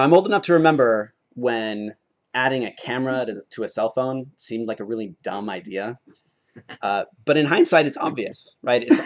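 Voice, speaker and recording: A man in his thirties with a cold and a croaky voice, giving a relaxed, joking tech talk to a meetup audience. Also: mid-range voice. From Oregon, United States. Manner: relaxed, self-deprecating humor, frequent "uh". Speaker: conference speaker. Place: meetup venue before an audience.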